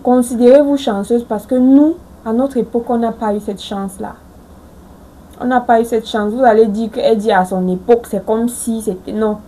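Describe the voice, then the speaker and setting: A woman's voice: a little high, fairly even intonation, moderate pace, dark tone, poor audio. A woman in her forties giving earnest, reassuring life advice straight to her viewers. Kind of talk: motivational talk to camera.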